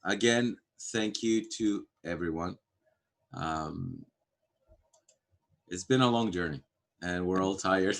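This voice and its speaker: A man from Greece, a Greek native speaker, talking casually on a video-call livestream, pausing often with fillers and restarts. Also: deep voice, vocal fry. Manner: halting, many fillers and restarts. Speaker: framework core team member.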